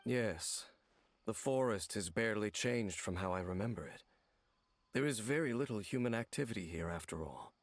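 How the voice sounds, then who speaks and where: A man voicing a grave, stoic, ageless wanderer in a video game, speaking in a measured, serious, matter-of-fact way. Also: lowish voice, lively intonation. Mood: calm, matter-of-fact. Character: grave, stoic centuries-old male wandering warrior, terse and watchful. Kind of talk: video game character dialogue.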